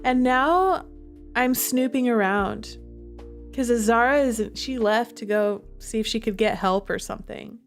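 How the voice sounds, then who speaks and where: A woman's voice: a little high, measured pace, soft background music. A woman streaming a visual novel, chatting to viewers and reading the game text aloud with dramatic feeling.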